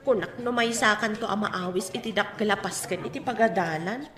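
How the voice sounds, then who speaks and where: An actress playing a chatty woman friend, coaxing and expressive, in a radio drama. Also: mid-range voice, a little fast, close to an everyday voice. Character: chatty, nudging woman, the mother's close friend. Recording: roomy, echoing drama recording.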